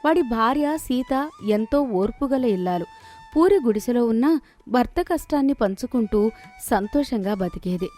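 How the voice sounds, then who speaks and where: A woman's voice: a little high, expressive, moderate pace, a little mellow. A woman reading a scripted moral story as its narrator. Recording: music behind the voice.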